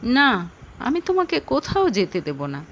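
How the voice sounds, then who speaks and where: Lady doing obsessive hot-headed boyfriend in romance story, high voice, swings about, intense. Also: huge pitch swings, unhurried, a bit bright, muffled recording, a little hiss or hum, full character voice. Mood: possessive. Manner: demanding, intense. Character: possessive, hot-headed male lover, rough and obsessive. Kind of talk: dramatic audio story dialogue.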